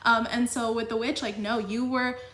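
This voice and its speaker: A woman's voice: fairly high pitch, steady tone, normal speed, a bit bright, roomy sound. Chatty sniffly woman reading tarot. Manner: chatty, filler-heavy with frequent "like" and "you know". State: slightly sniffly. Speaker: tarot reader. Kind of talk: casual tarot reading to camera.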